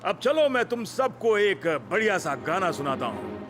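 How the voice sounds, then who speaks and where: A man voicing a fussy, proud and easily exasperated bus driver in a dubbed children's cartoon, with an animated, theatrical delivery. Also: sits high, a little slow. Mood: cheerful, showy. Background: music.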